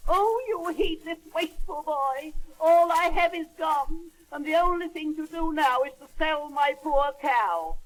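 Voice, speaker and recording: A man voicing an anxious, scolding older mother in a storybook reading, shrill and exasperated. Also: a little slow, few pauses, rough recording.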